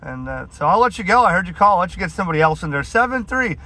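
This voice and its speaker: Male mobile CB caller, bright forceful tone, fairly high pitch, chatty and slangy over the radio. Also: very animated, rapid-fire. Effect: heard over CB radio. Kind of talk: casual CB radio chat. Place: inside a vehicle on a highway.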